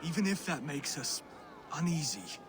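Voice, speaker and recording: High-voiced, gentle young Saxon king. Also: big pitch swings. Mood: gentle, earnest. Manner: formal, pleading, measured speech. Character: earnest, merciful Saxon king-to-be, gentle and idealistic. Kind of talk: video game cutscene acting. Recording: clear background noise under dialogue.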